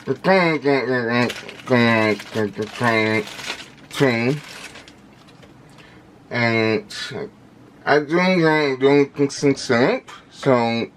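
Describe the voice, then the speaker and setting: A man talking casually and unscripted in an unboxing video, with halting, indistinct speech and frequently repeated words. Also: mid-range voice, a bit bright, slight background noise. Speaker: vlogger unboxing audio gear.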